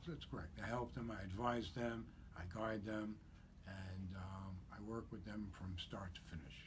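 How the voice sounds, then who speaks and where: Low-voiced man in his seventies, noisy line. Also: moderate pace. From born in Czechoslovakia, raised in New York. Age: 70s. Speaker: guest, cemetery broker. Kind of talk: radio interview. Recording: radio broadcast with steady background noise.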